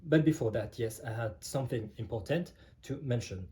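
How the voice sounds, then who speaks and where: A man's voice: mid-range voice, moderate pace, slight background noise. A man teaching a casual online language lesson, hesitant and conversational, with many filler sounds. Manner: relaxed, conversational explaining. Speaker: French teacher.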